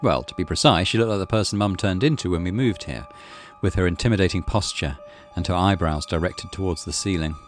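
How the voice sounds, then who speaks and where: A man's voice: deep voice, brisk, few pauses. A man narrating a visual novel aloud as a gaming channel host, in a dramatic storytelling style. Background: music.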